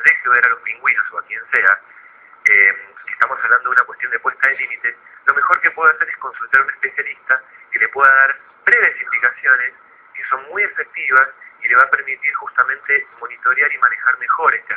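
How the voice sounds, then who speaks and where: An Argentine man, a guest psychologist in a radio interview, explaining in a didactic but halting way with repeated words. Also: medium pitch, husky. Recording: phone-like narrow radio line.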